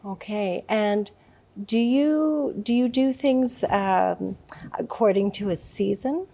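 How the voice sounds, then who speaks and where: A woman hosting a talk show, asking halting, hesitant interview questions. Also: mid-range voice, vocal fry, dark tone.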